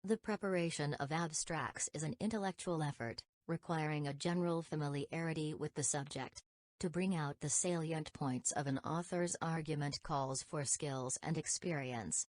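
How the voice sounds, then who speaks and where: A woman's voice: low-pitched, normal speed, no room sound. A woman reading formal practice passages aloud from a script for a language test.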